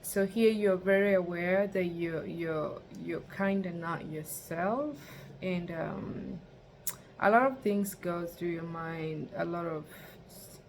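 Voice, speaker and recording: A woman's voice: on the low side, takes it slowly, noisy background. A young woman from Kenya, vlogging in a casual, rambling way with lots of fillers. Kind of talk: casual talk to camera.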